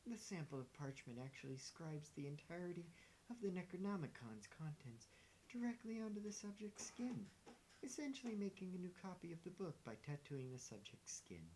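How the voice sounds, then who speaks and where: A deadpan scientist character from a visual novel game, put on by a reader, speaking formally with a widely swinging pitch. The delivery is clinical and matter-of-fact, over a faint hiss. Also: a little fast, lightly acted, low-quality recording. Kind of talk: character voices read aloud for a visual novel.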